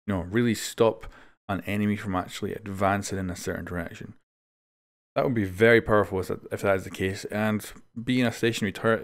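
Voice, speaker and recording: Male gaming news host, low voice, casual conversational commentary.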